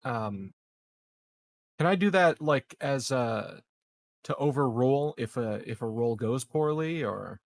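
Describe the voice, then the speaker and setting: Man with medium pitch asking as a player. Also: lively intonation, slow. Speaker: tabletop game player. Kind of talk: casual chat during a tabletop game.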